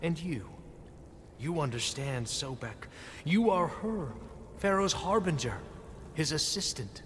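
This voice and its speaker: A man's voice: on the high side, animated, takes it slowly, fairly bright tone, in a large hall, a little hiss or hum. An actor playing a grandiose, self-deluded male leader in a video game, speaking in pompous, theatrical declarations. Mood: grandiose, fervent. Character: grandiose, self-deluded male leader who believes himself a reborn ancestor. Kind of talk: video game cutscene acting.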